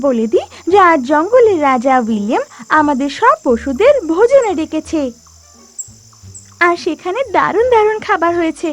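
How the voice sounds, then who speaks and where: A tiny, very high-voiced mother bird from a cartoon fable. She speaks expressively with an anxious, scolding edge. Soft music plays underneath. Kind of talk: animated moral story dialogue.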